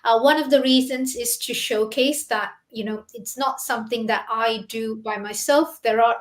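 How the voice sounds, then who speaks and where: A woman's voice: on the high side, steady tone, normal speed. Chatty woman hosting a live stream. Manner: chatty, frequent "you know" and "isn't it". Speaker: host, doctor and business owner.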